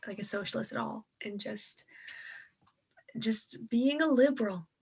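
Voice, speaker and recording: A woman's voice: a little high, a little slow, vocal fry, darkish tone, husky. A woman co-hosting a casual book discussion, speaking in an informal, colloquial way. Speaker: co-host of a political book read-along. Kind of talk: casual chat about a book.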